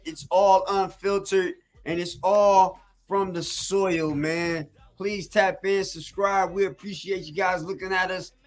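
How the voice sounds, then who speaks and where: High-voiced man hyping a podcast. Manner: hyped, promotional, drawn-out "man". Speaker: podcast co-host. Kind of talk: casual podcast sign-off.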